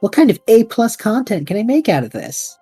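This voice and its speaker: A woman's voice: a little high, very animated, fairly quick, mellow, slightly rough, no echo, soft background music. A woman voicing a restless young male time traveler who whines and rambles dramatically, in an audio drama. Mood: bored whining.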